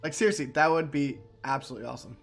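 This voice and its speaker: A man's voice: mid-range voice, very fast, creaky, faint music behind. A man streaming casual, rambling commentary on game cutscenes, enthusiastic and often repeating himself.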